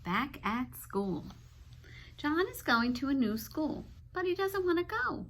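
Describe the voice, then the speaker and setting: A woman teacher reading a children's book aloud, pausing to explain simply and check in with her young listeners. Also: high-pitched, sing-song, fairly bright tone, slight background noise. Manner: instructive, addressing young children with check-in questions.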